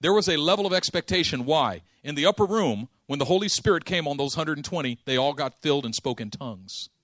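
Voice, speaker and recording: A man preaching a sermon, telling a story with lively acted-out dialogue and casual slang. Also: on the high side, fast talker, no room sound. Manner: animated storytelling, acting out dialogue.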